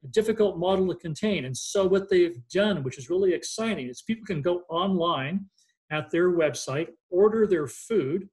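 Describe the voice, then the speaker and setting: High-voiced older man hosting a video call. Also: lively intonation, normal speed, a little mellow. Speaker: city mayor hosting. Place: home office with bookshelves. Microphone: webcam on video call.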